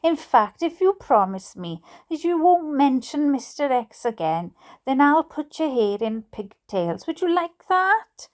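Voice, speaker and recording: Wheedling Welsh aunt voiced by a woman, higher register, whiny and secretive, bedtime storybook. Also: big pitch swings, measured pace, darkish tone. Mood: coaxing. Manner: whiny, coaxing. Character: whining, wheedling glamorous aunt guarding a secret.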